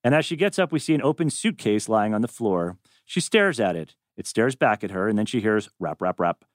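A man's voice: lowish voice, sing-song, fairly quick, dry recording, studio quality. A man narrating stage directions from a script at a table read.

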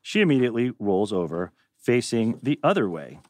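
A man's voice: mid-range voice, sing-song, moderate pace, a little mellow, no room sound. A man narrating a screenplay's stage directions at a table read. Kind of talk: animated script reading.

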